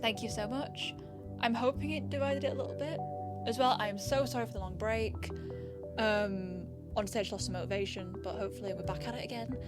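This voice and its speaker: A woman's voice: on the high side, a little fast, loud music behind the voice. A woman voice actor and channel host chatting casually and informally to listeners.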